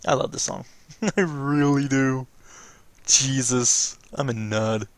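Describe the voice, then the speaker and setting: A man's voice: medium pitch, steady tone, slow, no echo. A man giving casual, chatty, fannish reaction commentary as he watches along.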